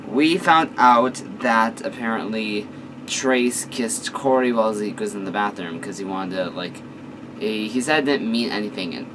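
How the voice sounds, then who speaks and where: A hoarse man reading a fan-fiction story aloud, stumbling and restarting phrases often. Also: medium pitch, far from the mic, background noise. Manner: halting, frequent restarts. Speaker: story reader.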